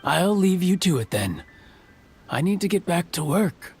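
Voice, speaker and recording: An actor playing an elderly, hospitable and wry carpenter in a video game, rambling along in a hesitant, leisurely way. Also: male voice, high-pitched, sing-song, a little slow, full character voice. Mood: weary, explaining. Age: older. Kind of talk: scripted video game voice acting. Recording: game music behind the voice.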